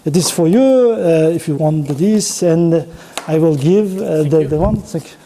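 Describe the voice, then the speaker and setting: A male presenter with a distinctly high voice and a dark, rounded tone. He speaks English with an Uzbek accent in a halting, choppy way. His delivery is informal and interactive, addressing an audience directly. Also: expressive, a little hiss or hum. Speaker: conference speaker running an audience networking game.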